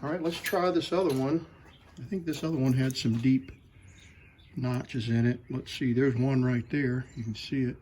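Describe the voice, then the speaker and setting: A man hosting a hands-on repair demonstration in his workshop, talking to himself in an unhurried way as he works. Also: medium pitch, fairly even intonation, a little mellow, a little hiss or hum. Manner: unhurried, talks to himself while working. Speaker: host. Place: workshop with a lathe.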